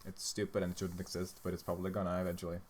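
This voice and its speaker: A man's voice: low voice, completely flat delivery, very fast, a little mellow, slight background noise. A young man from Sweden, a native Swedish speaker, chatting casually on a livestream, blunt, profane and tired as he answers questions. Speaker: streamer answering chat questions.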